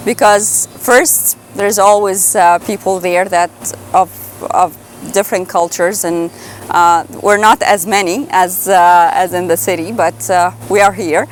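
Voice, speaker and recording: A woman's voice: fairly low pitch, steady tone, unhurried, slight background noise. A woman newcomer giving an unscripted interview, hesitating and repeating words as she goes.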